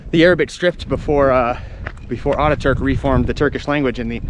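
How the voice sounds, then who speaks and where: A man's voice: mid-range voice, fairly quick, slight background noise, rough recording. A man talking casually and informally as a travel vlogger, explaining things unscripted while walking around outdoors. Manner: chatty, informal, explaining as he explores.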